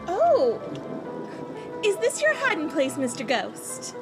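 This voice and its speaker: A woman playing a kind, frank young girl in a radio play, earnest and gently scolding. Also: very high-pitched, wildly swooping pitch, slow pace, over music, heavily acted. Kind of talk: theatrical radio play acting.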